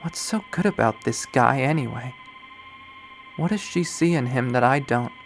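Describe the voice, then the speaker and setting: A man's voice: mid-range voice, lively intonation, moderate pace, close to an everyday voice. A man voicing a brooding, obsessive young woman killer. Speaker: visual novel reader. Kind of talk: dramatic first-person readthrough.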